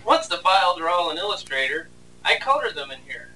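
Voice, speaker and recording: A man's voice: high-pitched, big pitch swings, a little fast, sharp tone. A man casually narrating behind the scenes as an animator, with a sarcastic, mock-complaining manner. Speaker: animator and video host. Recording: distant mic, faint background noise.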